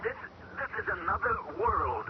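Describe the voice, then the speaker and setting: An actor playing a man taken over by an alien mind, who talks calmly and measures his words in an old radio drama. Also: high voice. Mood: eerie. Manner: calm, measured, searching for words. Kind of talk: 1970s radio drama acting. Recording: dull old radio broadcast with loud hiss.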